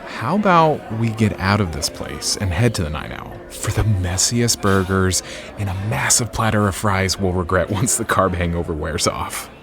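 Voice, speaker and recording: An actor playing a bookish, romantic man courting a friend in an audio drama, courteous and gently earnest. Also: deep voice, animated, moderate pace. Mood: playful. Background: music.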